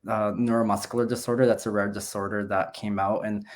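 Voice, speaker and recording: Guy in his 30s on a video call, lowish voice, rambly and friendly with lots of uhs. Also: little pitch movement, a little fast. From Canada. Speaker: guest, nurse and business owner. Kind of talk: casual interview talk.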